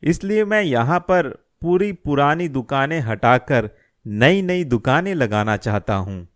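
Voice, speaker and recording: A man's voice: mid-range voice, expressive, a little slow, close to an everyday voice. A man voicing a menacing, smug village thug in a cartoon moral story. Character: menacing village thug scheming to seize an old shop.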